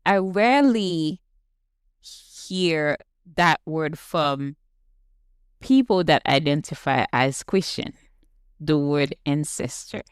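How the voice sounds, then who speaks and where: A Haitian-accented woman hosts a relaxed conversation, speaking slowly in a smooth, clear voice. She is a soft-spoken listener who keeps affirming her guest. The sound is close and dry. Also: sits low, no echo. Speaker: podcast host. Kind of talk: casual conversation.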